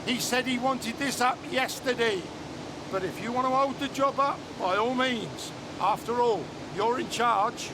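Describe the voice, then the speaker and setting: An actor playing an impatient, sarcastic veteran scaffolder who dismisses rules, in a naturalistic training film drama. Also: male voice, extremely high pitch, unhurried, noisy background. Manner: blunt, sarcastic, defensive.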